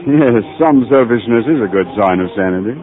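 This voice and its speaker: An actor playing a calm, kindly psychiatrist in a 1940s radio drama, with a measured and reassuring delivery. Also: male voice, mid-range voice, lightly acted. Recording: tinny 1940s radio broadcast, faint music.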